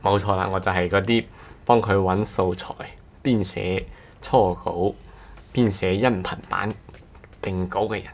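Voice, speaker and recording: A man's voice: low voice, steady tone, unhurried, pauses often. A man with a Cantonese accent chatting casually as a podcast guest, joking and poking fun at himself. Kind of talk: casual chat.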